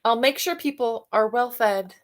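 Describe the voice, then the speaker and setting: A woman reading a picture book aloud to children, expressive, with soft musing questions for young listeners. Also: a little high, normal speed. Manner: expressive reading, alternating with softer musing asides and hums. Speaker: read-aloud storyteller for children.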